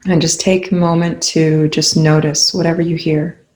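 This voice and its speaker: A calm, unhurried speaker leading a guided meditation in soothing spoken instructions with long pauses. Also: medium pitch.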